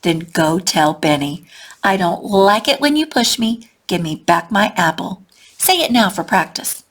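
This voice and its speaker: A woman voicing a gentle female butterfly teacher in a storybook, speaking slowly, softly and firmly. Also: mid-range voice, very animated, slightly rough. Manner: soothing, slow and firm.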